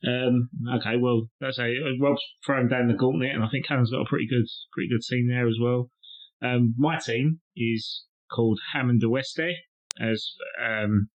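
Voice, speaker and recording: A man's voice: medium pitch, fairly even intonation, normal speed. A man co-hosting a podcast, chatty and telling stories with laughs. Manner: chatty, storytelling, laughs often. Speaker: podcast co-host. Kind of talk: casual chat. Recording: dull lo-fi sound.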